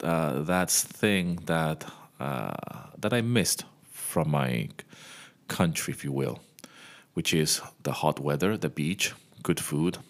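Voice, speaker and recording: Deep-voiced man chatting to listeners. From Venezuela. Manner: chatty, speaks straight to the listener. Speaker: podcast host, music composer. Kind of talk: casual podcast monologue. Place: home.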